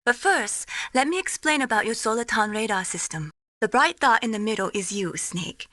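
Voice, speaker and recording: A woman's voice: on the high side, expressive, moderate pace, sharp tone, no echo, studio quality. An actress playing a friendly, admiring young female tech specialist in a video game, speaking politely and earnestly as she explains. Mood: helpful explaining.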